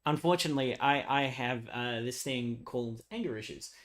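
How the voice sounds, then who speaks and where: A young man with a hoarse, worn voice, chatting loosely to his live audience. He rambles between thoughts, laughs a lot and drops swear words without a second thought. His pitch sits squarely in the middle for a man. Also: normal speed, fairly bright tone. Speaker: live streamer. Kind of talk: casual live-stream chat with viewers.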